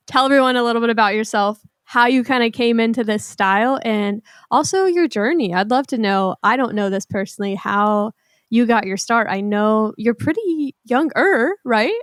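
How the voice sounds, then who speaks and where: A woman's voice: on the high side, smooth, no room sound, clean studio sound. Gushing young woman podcast host. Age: 20s. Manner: gushing, rambling, enthusiastic. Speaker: podcast host, wedding photographer. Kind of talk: casual interview chat.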